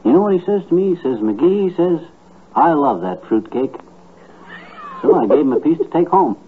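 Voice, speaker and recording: An actor playing a folksy middle-aged husband in a 1950s radio sitcom, on a muffled, noisy old recording. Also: male voice, fairly high pitch, very animated, normal speed. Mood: chatty, amused.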